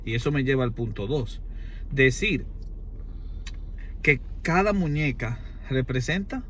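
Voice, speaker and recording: Dominican man, medium pitch, formal oratorical delivery, emphatic repetition, argumentative explanation, background noise. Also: animated, a little slow, a bit bright. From Dominican Republic. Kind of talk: extended argumentative explanation in conversation.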